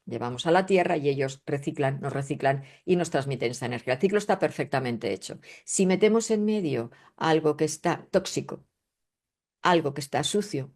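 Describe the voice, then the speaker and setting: A woman's voice: low-pitched, a little mellow. A woman with a Spanish accent from Spain, speaking as an interview guest in an emphatic, didactic way and often checking in with rhetorical questions. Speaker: guest, astrologer and spiritual researcher. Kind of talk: conversational interview explanation.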